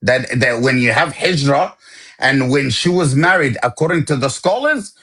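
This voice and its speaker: A man's voice: mid-range voice, animated, moderate pace, sharp tone, breathy edge. A man in a heated live debate, insistent and talking over others as he repeatedly demands sources. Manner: talks over others, interrupts.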